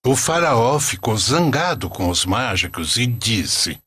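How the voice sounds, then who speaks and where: Slow, expressive, husky male storyteller. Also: medium pitch, no room sound. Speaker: narrator. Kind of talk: scripted storytelling narration.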